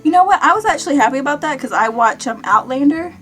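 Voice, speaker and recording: A young American woman chatting casually to viewers in an animated, self-deprecating way, like a vlogger. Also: high voice, fast talker. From Kentucky, United States. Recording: music behind the voice.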